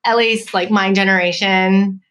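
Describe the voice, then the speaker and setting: A woman's voice: mid-range voice, steady tone, measured pace, fairly bright tone. An American woman in her thirties, a professor, talks as an interview guest. She speaks thoughtfully and hedges with frequent "like" and "um", in an ordinary mid-range voice. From United States. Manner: reflective, hedging with "like" and "um". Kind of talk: casual interview conversation.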